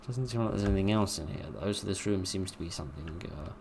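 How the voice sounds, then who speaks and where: A deep, low-pitched man, his voice worn hoarse after a long session, talks his way through a game in a dry, wry ramble. Keyboard typing is clearly heard in the background of his desk recording. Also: fast talker, background noise. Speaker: gameplay commentator. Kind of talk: let's play commentary.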